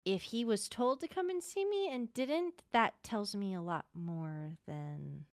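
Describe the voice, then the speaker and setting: A woman playing an inquisitive, easily flustered court healer in an improvised game roleplay, halting as she thinks aloud. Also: mid-range voice, lively intonation, dry recording, clean studio sound. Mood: hesitant, thoughtful.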